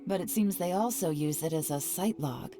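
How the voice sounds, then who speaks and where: A woman voicing a shrewd, secretive female spy who speaks in a calm, measured, analytical way, in a game with music under her lines. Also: fairly low pitch, natural voice. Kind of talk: game voice acting.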